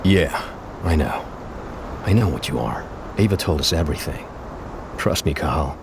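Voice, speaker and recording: A man's voice: a bass voice, expressive, moderate pace, background noise. An actor playing an earnest former policeman turned committed resistance fighter in a video game cutscene. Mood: resolute.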